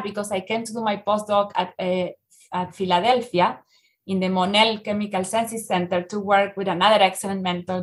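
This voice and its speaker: A woman's voice: medium pitch, fairly bright tone. A middle-aged woman from Argentina with an Argentine Spanish accent, a researcher talking in a podcast interview, often restarting and filling with "uh". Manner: halting, with many restarts and fillers. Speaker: researcher guest.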